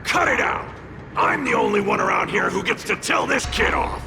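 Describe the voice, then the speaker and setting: A man voicing a boastful, hot-tempered fire spirit in a video game, brash and gravelly. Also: sits high, normal speed. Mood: indignant. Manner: brash, shouting, indignant. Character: boastful, hot-tempered fiery spirit sealed inside a young judge. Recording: loud music behind the voice.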